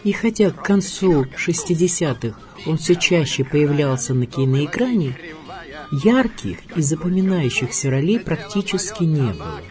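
A man narrating a scripted radio biography in a measured, expressive storytelling manner. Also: on the high side. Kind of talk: scripted documentary narration with dramatic readings. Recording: music bed under voice. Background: music.

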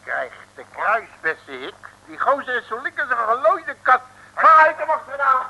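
High, excitable Dutch comic detective. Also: male voice, moderate pace, gravelly, slightly rough, full character voice, poor audio. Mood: cheeky, excitable. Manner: folksy, slangy, blustering. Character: cheeky, excitable, slangy male detective. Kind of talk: 1950s-60s comic radio play acting.

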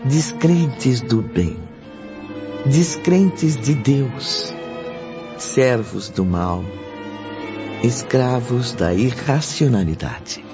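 An actor with a Brazilian accent playing a somber, dramatic narrator of tormented souls in a radio drama. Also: male voice, medium pitch. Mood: ominous, condemning. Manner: ominous, measured, dramatic. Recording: dull lo-fi audio under loud music.

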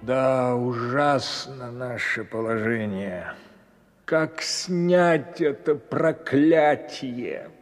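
An anxious vizier in stork's form, loyal and deferential to his master. His mid-range voice moves slowly and plaintively, in fairy-tale radio play style. A long reverb hangs around every word. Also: mid-range voice, faint music behind. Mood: sorrowful, pleading.

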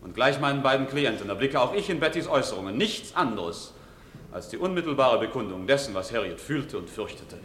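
A man's voice: medium pitch, big pitch swings, a little fast, a bit bright. A man acting an eloquent, insistent defence lawyer in a 1950s courtroom radio drama, with formal, pressing rhetorical questions. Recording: echoey hall, faint hiss, old radio recording.

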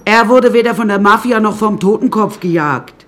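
A wry storyteller, voice a bit high, wanders off into little asides, radio play. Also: male voice, normal speed, a bit bright. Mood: scornful, wry. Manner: wry, digressive, hesitant asides. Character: wry, rambling storyteller recounting own troubled childhood. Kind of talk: radio drama monologue.

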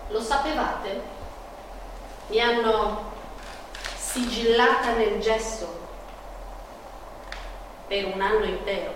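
An actress playing a pained, defiant woman painter in an impassioned, dramatic stage monologue with drawn-out words. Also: on the high side, background noise. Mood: bitter, wry. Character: Frida Kahlo, pained, defiant woman painter of forty-seven. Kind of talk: theatrical monologue with music. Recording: echoey hall from far off, music behind.

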